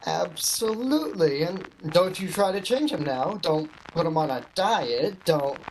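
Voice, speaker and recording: A woman acting in a radio play as an awkward, slyly teasing male shop clerk, with a halting, understated delivery. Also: lowish voice, lively intonation, darkish tone, slight background noise, poor audio. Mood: slyly reassuring. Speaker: amateur actor.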